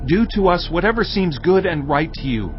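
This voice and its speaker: A fearful, grovelling man begging for mercy, high strained register, scripture audio drama with music. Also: sing-song, moderate pace, highs cut off. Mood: fearful submission. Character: frightened, submissive male servant pleading for mercy. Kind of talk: dramatized audio Bible acting.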